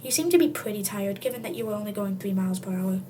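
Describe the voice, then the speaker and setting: Casting: high-voiced reader for comic story narration, highly animated, tongue-in-cheek, slightly distant homemade sound. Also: quick pace. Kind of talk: comic read-aloud narration in second person. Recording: distant mic, faint background noise.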